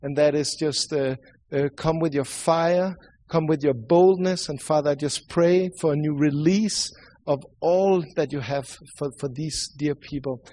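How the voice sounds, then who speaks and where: Swedish accent guy preaching, earnest, kinda high voice, lots of restarts and repeats. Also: a little mellow. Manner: earnest, halting, with many restarts and repeated words. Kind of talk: sermon with prayer.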